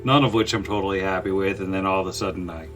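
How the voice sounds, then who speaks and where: A man's voice: low voice, steady tone, fairly bright tone, soft background music. A man chatting casually and jokingly on a podcast, rambling in short bursts and acting out his own asides. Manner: halting, filler-heavy rambling with acted-out asides. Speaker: podcast panelist.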